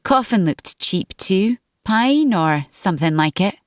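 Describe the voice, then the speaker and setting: A woman's voice: medium pitch, lively intonation, unhurried, dry recording, studio quality, natural voice. Woman voicing a sly, offhand street girl. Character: sly, streetwise fourteen-year-old girl spying for body snatchers.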